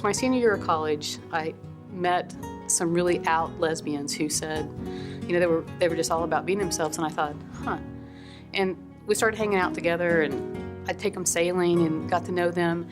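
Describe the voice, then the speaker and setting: Candid low-voiced woman, guitar behind. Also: normal speed. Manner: reflective, candid, hesitant fillers. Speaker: interviewee. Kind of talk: personal interview. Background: guitar music.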